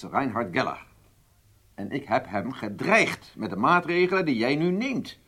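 Husky, cautious government official. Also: male voice, mid-range voice, fairly bright tone. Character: cautious, worried senior government official objecting politely. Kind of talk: Dutch radio drama acting.

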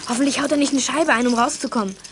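An excitable young sleuth in a 1980s German radio play. The voice is high and childlike, cautious yet excited, over faint noise. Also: female voice, racing. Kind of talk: 1980s German youth radio drama acting.

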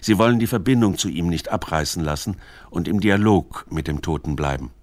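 A man's voice: low voice, a little fast, dry recording. A man reading scripted narration for a literary radio documentary.

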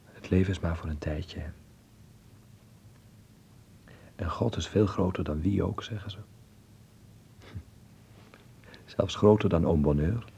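A man acting a sick, frightened, earnest teenage boy in a radio play, speaking in a halting, intimate, creaky half-whisper. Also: low-pitched, dark tone, slight background noise. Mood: guarded, pensive. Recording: muffled old radio play recording.

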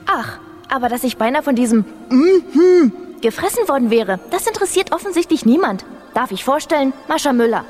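An actress playing a bold, bossy young mouse princess in a children's radio play, pert and insistent. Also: female voice, high voice, big pitch swings, fairly quick, a little mellow. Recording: hall reverb, music underneath.

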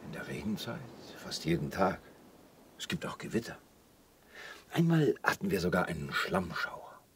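An actor playing a calm, protective island recluse in a German radio play, speaking in a gentle, wry way. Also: male voice, low voice, moderate pace. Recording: clearly audible background ambience. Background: ambience noise.

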